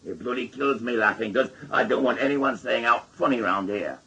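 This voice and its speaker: A man's voice: mid-range voice, a little fast, creaky, pushed and bright, husky, dull audio, full character voice. An actor playing a wry, stubborn middle-aged father with a Northern English accent, blunt and joking in a radio drama. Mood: dry joking. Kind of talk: naturalistic radio drama acting.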